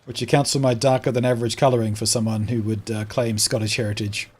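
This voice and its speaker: Husky Australian man chatting on a stream. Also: medium pitch, flat delivery, fast, darkish tone. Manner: chatty, reads out and answers viewer comments. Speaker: game streamer. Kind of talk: casual live-stream chat while playing.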